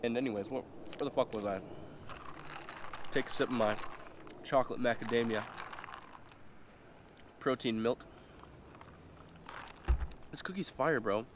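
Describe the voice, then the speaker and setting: A man's voice: medium pitch, measured pace, long pauses, noisy background. A young man with a stuffy, nasal voice from a cold, casually telling a story in a vlog, full of fillers and slang. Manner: rambling, filler-heavy, slangy. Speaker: vlogger. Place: indoors, eating while talking.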